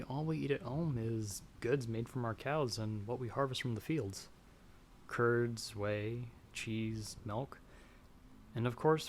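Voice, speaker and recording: A man's voice: medium pitch, lightly acted. Man acting as a polite young farm lad, noisy ambience. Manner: hesitant, courteous, old-fashioned formal phrasing. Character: polite, humble young farmer's son sheltering from a storm. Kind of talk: ASMR audio roleplay acting.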